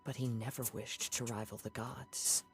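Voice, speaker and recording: A young man voicing a gentle wandering samurai in a video game, with a calm, composed and reflective way of talking. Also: medium pitch, normal speed, natural voice. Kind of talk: game voice acting. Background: game music.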